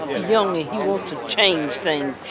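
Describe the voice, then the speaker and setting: A woman's voice: fairly low pitch, measured pace, a loud crowd. A woman in her seventies speaking plainly and emphatically in a street interview. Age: 70s. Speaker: rally attendee.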